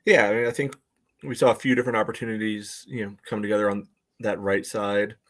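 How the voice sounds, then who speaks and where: An American man co-hosting a casual soccer podcast, breaking down play in a halting way full of verbal tics. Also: lowish voice, little pitch movement. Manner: halting, peppered with "you know". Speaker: podcast co-host and soccer supporter. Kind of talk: casual sports analysis chat.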